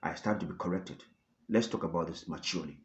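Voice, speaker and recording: A man who works as a content creator, giving dramatic, storytelling news commentary to viewers with emphatic pauses. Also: low voice, muffled recording.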